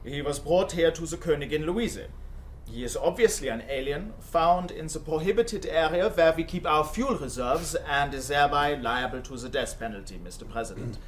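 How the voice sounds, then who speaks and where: An actor playing a dutiful German naval lieutenant who reports formally to a court in a radio drama. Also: male voice, fairly high pitch, normal speed, slight background noise, lightly acted.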